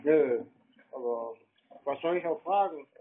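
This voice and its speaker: A man giving terse, deadpan, evasive replies in casual speech during a phone call. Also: on the high side, a little slow, husky. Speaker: scam-baiting call recipient.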